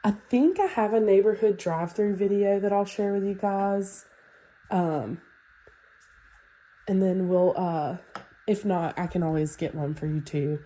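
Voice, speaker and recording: A woman's voice: medium pitch, a little slow. A woman with a stuffy, nasal voice from a sinus infection, casually walking through a house as a real estate agent. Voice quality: nasal, congested. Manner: relaxed and chatty, with fillers like "um" and "like". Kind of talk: casual home walkthrough tour.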